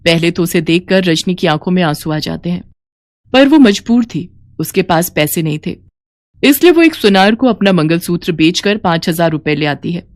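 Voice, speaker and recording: A woman's voice: fairly low pitch, lively intonation, moderate pace, dry recording, studio quality. A woman reading scripted story narration as the narrator.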